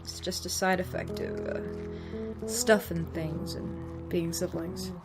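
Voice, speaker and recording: A woman's voice: on the low side, steady tone, takes it slowly, over loud music. A woman acting a blunt, sardonic survivor in an audio drama, with casual, naturalistic delivery. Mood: wry, guarded. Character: blunt, sweary, sardonic adult female survivor.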